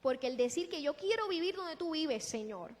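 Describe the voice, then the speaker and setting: A woman preaching a sermon in a deliberate, emphatic way, posing rhetorical questions. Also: high-pitched, animated. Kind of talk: sermon with scripture exposition. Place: large echoing church hall. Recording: long reverberant hall sound.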